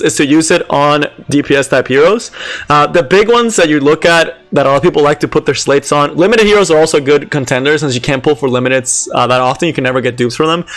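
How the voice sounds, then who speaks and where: A man with a raspy, strained voice reviews a game update on a casual live stream, chatting with viewers and keeping his delivery subdued. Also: on the high side. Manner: chatty, answers chat, many "like" fillers; deliberately subdued, near monotone.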